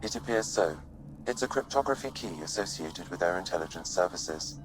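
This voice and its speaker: A man voicing a calm, formal artificial intelligence in a video game, measured and factual. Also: low voice, background noise.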